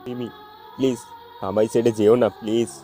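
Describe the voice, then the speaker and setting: A dark, mellow male voice a man acting plays a jilted, heartbroken young lover. The lines are melodramatic love-story dialogue over a faint music bed. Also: mid-range voice, flat delivery, moderate pace, natural voice. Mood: pleading, hurt. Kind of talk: amateur audio love-story drama.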